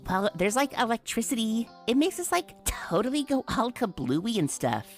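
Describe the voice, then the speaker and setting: A woman's voice: fairly high pitch, sing-song, normal speed, faint music behind. A woman voicing a ditzy, bubbly young female water kelpie in a cartoon comic dub, gushing like a valley girl.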